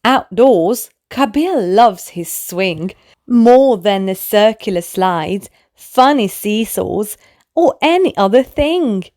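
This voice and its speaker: A woman narrating a children's bedtime story in an expressive way, speaking to small children. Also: medium pitch. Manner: animated, slow, clearly addressed to small children. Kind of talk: expressive read-aloud bedtime story for toddlers.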